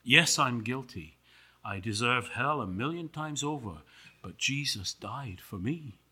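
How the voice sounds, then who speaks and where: Guy doing a humble apostle at judgment, plain firm answers, bright forceful voice, unhurried, sermon skit. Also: medium pitch, animated, slightly rough, heavily acted. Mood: solemn.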